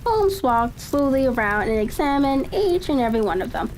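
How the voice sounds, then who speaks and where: A woman reading a classic story aloud for relaxation, in a halting way with small stumbles over phrases. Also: high voice, sing-song. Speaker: audiobook reader. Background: faint ambient noise.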